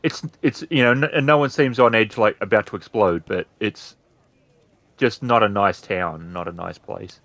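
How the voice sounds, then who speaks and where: Man, game master, lowish voice, improvised tabletop narration, dry recording. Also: normal speed, a bit bright, no echo.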